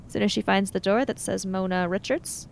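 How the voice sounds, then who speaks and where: A woman's voice: fairly even intonation, brisk, creaky. A woman narrating and role-playing her character in a tabletop role-playing game. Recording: low rumble and background noise.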